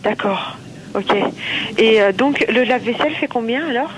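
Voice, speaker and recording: A woman's voice: fairly high pitch, moderate pace. Female phone caller, polite, hesitant, natural unscripted talk, light noise on the line. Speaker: customer calling a shop, teacher. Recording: noisy telephone line.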